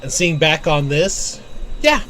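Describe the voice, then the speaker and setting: A man's voice: a little high, sing-song, takes it slowly, sharp tone. A man giving casual live commentary on a video game, musing to himself as he plays. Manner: musing, talks to self while playing. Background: game music.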